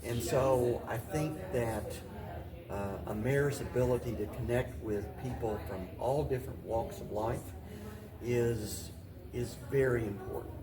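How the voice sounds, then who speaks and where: An American man answering interview questions in a deliberate, halting way, often restating his phrases as he goes. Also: medium pitch, a crowd in the background. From Paducah, Kentucky, United States. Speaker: mayor and interview guest.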